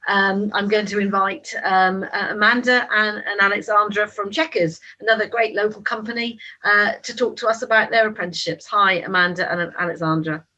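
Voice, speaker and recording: Bright-voiced woman hosting a webinar. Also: mid-range voice, fairly even intonation, fairly quick, hardly any pauses, rough recording. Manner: friendly, hesitant with fillers. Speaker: webinar host. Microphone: video call.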